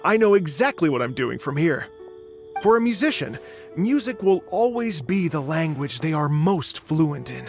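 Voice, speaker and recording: An actor voicing a gentle, earnest middle-aged male musician in a video game, polite and humble. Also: fairly high pitch, very animated, normal speed, soft background music.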